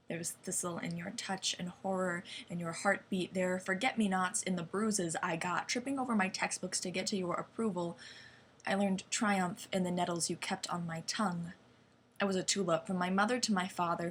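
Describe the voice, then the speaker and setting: A young woman reciting slam poetry in a deliberate, dramatic way with weighted pauses. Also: lowish voice, fairly bright tone. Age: young adult. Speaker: slam poet. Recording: clearly noisy amateur recording.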